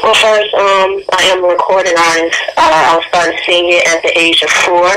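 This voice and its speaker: A woman's voice: lowish voice, normal speed, sharp tone. A woman answering interview questions as a guest over the phone. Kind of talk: interview answers about own career. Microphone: telephone. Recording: phone call into radio, faint noise.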